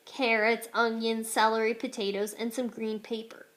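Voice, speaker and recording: A woman's voice: fairly high pitch, level tone, moderate pace, a bit bright. A woman reading a children's storybook aloud expressively, like a teacher.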